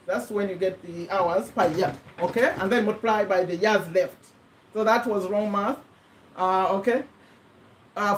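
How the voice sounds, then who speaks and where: A woman's voice: medium pitch, low-quality recording. A woman in her fifties from Uganda, talking casually to camera, rambling and correcting herself as she goes. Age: 50s. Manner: rambling, self-correcting, earnest. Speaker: vlogger. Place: USA.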